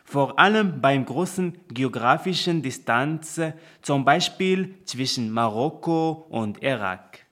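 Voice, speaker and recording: A man's voice: medium pitch, expressive, slow pace, fairly bright tone. A man in his twenties from Morocco, with a Moroccan accent and Arabic as his first language, carefully reading a prepared presentation. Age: 20s. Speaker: student presenter. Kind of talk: scripted presentation.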